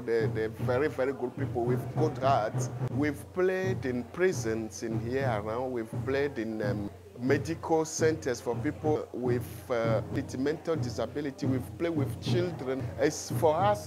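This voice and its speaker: Earnest man from Togo, hall with music. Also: mid-range voice. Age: 40s. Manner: earnest, halting, repeats words. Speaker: community drum group leader. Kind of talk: interview. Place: indoor community hall.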